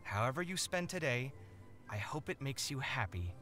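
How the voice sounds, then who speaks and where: A man's voice: mid-range voice, sing-song, moderate pace, bright, forceful tone, soft background music. An actor playing a friendly, sincere young man in a video game, speaking earnestly and politely. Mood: cheerful, sincere.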